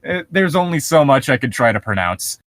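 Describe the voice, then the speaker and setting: A man's voice: medium pitch, big pitch swings, a little fast, a bit bright, dry recording, studio quality. A man chatting casually as a podcast co-host, rambling and swearing freely as he riffs on horror stories. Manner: rambling, profane. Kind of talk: casual chat, riffing commentary on horror stories.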